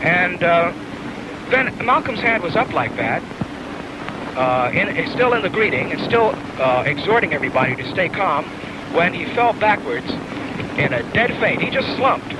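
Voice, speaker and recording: Man's halting account in heavy noise. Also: sits high, big pitch swings. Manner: halting, searching for words. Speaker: eyewitness. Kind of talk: spoken eyewitness account in a news interview. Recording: very noisy old newsreel interview footage.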